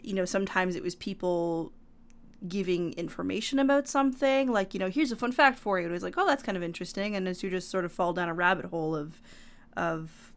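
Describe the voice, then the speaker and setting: A woman's voice: on the low side, fast talker. A woman co-hosting a podcast, talking in an easygoing, thoughtful way with light fillers. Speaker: podcast co-host. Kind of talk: casual podcast chat.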